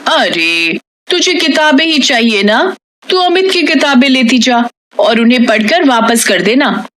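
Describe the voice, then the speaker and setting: A woman's voice: high voice, lively intonation, measured pace, a bit bright, pitched above the performer's own voice. A haughty middle-aged lady of the house, put on by a female narrator in a moral story. She is sly and curt, ordering people about in a bossy tone. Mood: slyly obliging.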